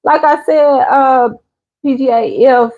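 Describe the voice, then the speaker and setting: A woman's voice: high-pitched, slow pace, narrow, tinny audio. A woman, casually chatting on her channel's live stream and answering viewers' comments. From Chicago area. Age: 50s. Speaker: channel host.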